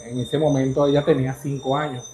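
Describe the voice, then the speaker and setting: A man's voice: mid-range voice, little pitch movement, warm tone, soft background music. Earnest venezuelan man, echoey room. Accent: Venezuelan Spanish. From Caracas, Venezuela. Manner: earnest, halting, with many restarts. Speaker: interview guest. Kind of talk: personal storytelling in an interview.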